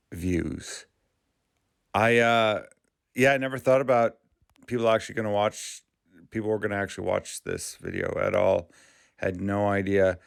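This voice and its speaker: A man from Maine hosting his channel, talking casually in a halting, rambling way with frequent restarts. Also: fairly low pitch, vocal fry, clear, resonant tone, no echo, clean studio sound. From Maine, USA. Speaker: YouTube channel host. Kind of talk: casual vlog commentary.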